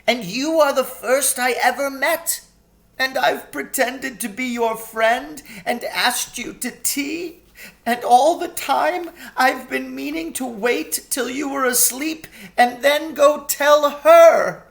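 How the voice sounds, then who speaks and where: A timid, kind storybook faun, pleads in a voice pitched far up. He is weepy and full of guilt, stammering through his anguish. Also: slow pace, vocal fry, a bit bright, full character voice. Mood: guilty, distraught.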